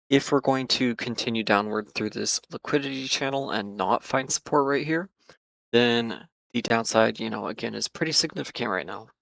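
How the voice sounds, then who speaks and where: A man talking casually through stock charts, hoarse and losing his voice, with many fillers and repeated hedges. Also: on the low side, fairly bright tone, no room sound, low-quality recording. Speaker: market analysis host. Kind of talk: casual trading chart commentary.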